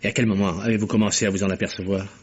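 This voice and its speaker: An actor playing a probing, sceptical investigator with dry irony in a vintage French radio mystery, asking clipped, wry questions. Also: male voice, lowish voice, level tone, rapid-fire, darkish tone, husky. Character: probing, sceptical male investigator, dryly ironic. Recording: dull vintage radio recording.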